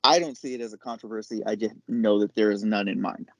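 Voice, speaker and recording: A man, probably middle-aged, talking casually as a podcast guest, explaining things in a halting, reflective way. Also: lowish voice, no echo. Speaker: podcast guest, chef and caterer. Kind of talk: casual interview chat.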